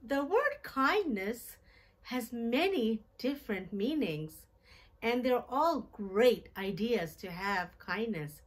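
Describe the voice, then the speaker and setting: A middle-aged woman hosting a children's storytime, reading a picture book aloud in simple, deliberate phrases and putting questions to her young listeners. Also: mid-range voice. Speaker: children's storytime host and reader. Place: small decorated indoor room.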